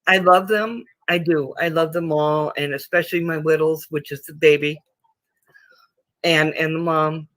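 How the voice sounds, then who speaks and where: A woman in her fifties chatting casually on a livestream panel, rambling with halting restarts. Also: deep voice, steady tone, fairly bright tone, dry recording. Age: 50s. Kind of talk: casual group chat.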